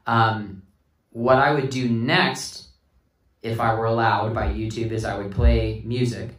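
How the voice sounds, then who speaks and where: A man's voice: on the low side, steady tone, measured pace, fairly bright tone. A man giving a casual hands-on tutorial, talking through each step unhurriedly as he works as a sound instructor. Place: indoor room with sound system. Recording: roomy, distant mic, PA sound.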